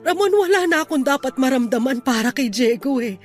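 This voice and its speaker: A sorrowful lover torn between two men in a Filipino radio drama. Her very high voice is hesitant, tearful and pleading, with music behind it. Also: expressive, brisk. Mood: sad, confused.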